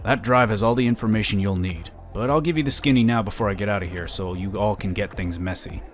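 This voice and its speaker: An actor playing a slick, smug lobbyist in a sci-fi audio drama, chatty and condescending. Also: male voice, lowish voice, quick pace, faint music behind, natural voice. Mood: confiding, scheming. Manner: chatty, smooth-talking, condescending. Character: smug, slick, scheming male political lobbyist.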